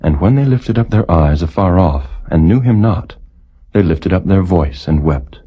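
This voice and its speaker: Low-pitched man reading scripture solemnly. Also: expressive, moderate pace, mellow, slightly rough. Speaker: narrator, Bible reader.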